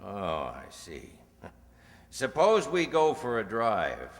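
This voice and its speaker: A man's voice: on the low side, huge pitch swings, takes it slowly, exaggerated voice. A man playing a wary, cautiously polite narcotics dealer in a radio crime drama, in an echoey hall. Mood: oily, cautious. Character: wary, smooth-talking male narcotics dealer. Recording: echoey hall, faint noise.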